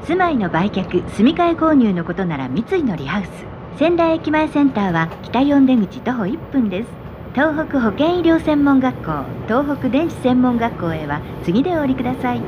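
A woman with a high, lilting voice reads polite scripted notices for a subway's in-car system. Clear train noise runs around her words. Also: sing-song, normal speed, lo-fi sound. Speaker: subway advertisement announcer. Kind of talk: scripted transit announcements and sponsor notices. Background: running subway train noise.

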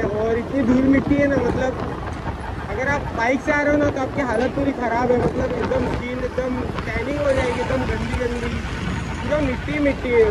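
A young man chatting casually while riding a motorbike, filling his talk with little fillers, recorded on a phone stuck to his helmet. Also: very high-pitched. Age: young adult. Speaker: vlogger. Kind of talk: casual moto vlog commentary. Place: outdoors on a motorbike on dusty roads, then a seaside spot. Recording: loud traffic and wind noise.

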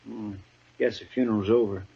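An actor playing a loyal, folksy frontier deputy with a rural American drawl and deferential manner in radio drama. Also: male voice, on the low side, fairly even intonation, fairly quick, vocal fry, warm tone, slightly rough. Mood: uneasy. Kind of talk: 1950s radio drama acting. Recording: dull, noisy old radio recording.

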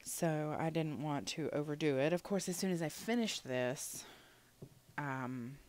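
Young woman, sniffly, casual chatty game voiceover; low, full voice, loose and rambling with plenty of ums. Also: a little slow, a little mellow. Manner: rambling, chatty, many fillers. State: a little sniffly. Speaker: gaming channel host.

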